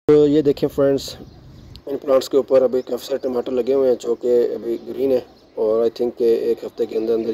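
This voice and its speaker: Man, middle-aged, casual garden vlog, very dark voice, flat delivery, outdoor rooftop, faint birds behind. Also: medium pitch, a little hiss or hum. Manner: relaxed, chatty, mixes English words into speech. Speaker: gardening vlogger. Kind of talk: casual show-and-tell narration.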